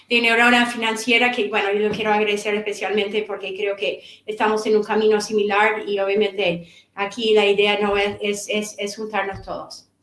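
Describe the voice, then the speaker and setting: A middle-aged woman, an author and course instructor, giving a seminar talk in a halting way, often repeating words as she searches for them. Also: medium pitch, fairly bright tone. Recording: echoey room.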